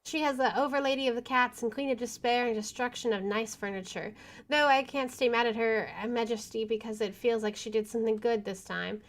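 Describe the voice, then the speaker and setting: A woman's voice: fairly high pitch, steady tone, fairly quick. A woman streamer reading visual novel narration aloud, expressively, with casual chatty asides. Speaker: video game streamer.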